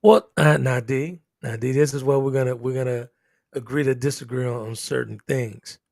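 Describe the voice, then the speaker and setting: A laid-back man co-hosting a live panel chat, affirming the others and greeting viewers. His voice is gravelly with plenty of fry. He sits close to a dry mic at a middle pitch. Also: moderate pace, a little mellow, dry recording.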